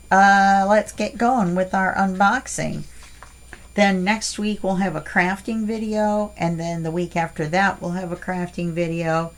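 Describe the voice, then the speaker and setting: Slow, stuffy-voiced woman crafter. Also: lowish voice. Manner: chatty, rambling with self-corrections and fillers. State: stuffy from allergies. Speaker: crafting channel host. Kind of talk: casual unboxing demo.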